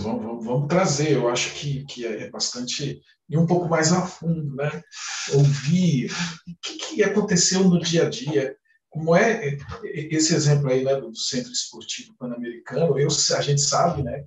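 Guy moderating a zoom webinar, lots of "né", echoey room, kinda far from the mic. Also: medium pitch. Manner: hesitant, many fillers. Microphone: video call. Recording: roomy sound from off mic.

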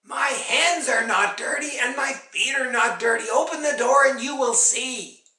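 A woman voicing an anxious storybook mother who calls for her children in a singsong that rises to shouts. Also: medium pitch, big pitch swings, slow, pitched above the performer's own voice, exaggerated voice. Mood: angry, demanding. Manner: singsong calling, rising to shouts. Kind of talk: dramatic oral storytelling. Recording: echoey room, distant microphone.

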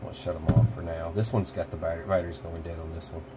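A man's voice: low-pitched, flat delivery, quick pace, very noisy. An American man hosting a casual product demo, talking in a folksy, informal, rambling way. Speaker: host of a prepper gear channel. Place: small cluttered indoor room.

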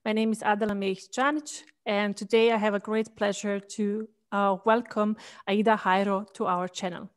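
A woman's voice: medium pitch, steady tone, moderate pace, smooth. Female interview host, mid-range voice, headset sound on a video call. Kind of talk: interview hosting. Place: indoor room on a video call.

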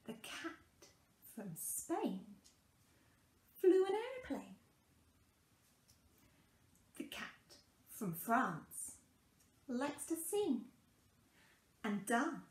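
A young woman reading a children's picture book aloud in a deliberate, sing-song way with dramatic pauses, recorded in a small room at home. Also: high voice, sing-song, darkish tone, roomy sound. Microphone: camera a couple of metres away.

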